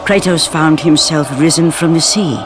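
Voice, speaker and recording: A man's voice: a little high, moderate pace, background noise. A man narrating a video game story in a grave, dramatic way. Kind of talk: scripted epic narration. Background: orchestral score.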